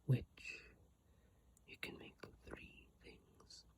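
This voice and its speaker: An ASMR creator whispering breathily and softly into a phone mic, reading aloud with unhurried, hesitant casual asides. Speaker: ASMR video creator reading game descriptions. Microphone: iPhone microphone, close up.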